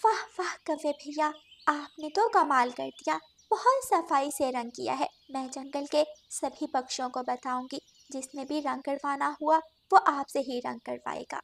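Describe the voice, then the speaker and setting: A woman's voice: sits high, measured pace, vocal fry. A woman voicing a kind, fussy mother bird in a children's cartoon, courteous but insistent. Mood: grateful. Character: kind, fussy female songbird homeowner and mother. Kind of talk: Hindi children's cartoon dialogue.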